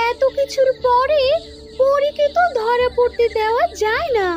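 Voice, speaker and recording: A woman voicing a small, brave winged fairy guardian, earnest and protective, in a cartoon fairy tale. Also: extremely high pitch. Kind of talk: animated fairy-tale dialogue.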